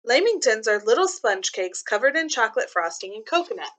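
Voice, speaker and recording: A woman with a fairly high, bright voice reads a children's book aloud in the style of a teacher. She explains things in simple, clear terms for young listeners, moving between reading and commenting. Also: big pitch swings, fairly quick, muffled recording.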